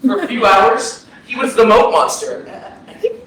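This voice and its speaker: A man's voice: high-pitched, wildly swooping pitch. An actor playing a troubled, earnest young man with a hesitant delivery in a staged play reading. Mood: reflective. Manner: hesitant, halting. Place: arts center stage. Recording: very echoey hall, distant, faint noise.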